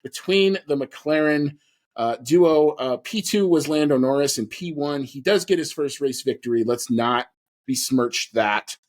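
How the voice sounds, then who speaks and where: A man with a fairly high-pitched voice hosts a sports podcast. He recaps the action in a casual, animated way, putting emphasis on his opinions. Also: a little slow. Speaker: podcast host.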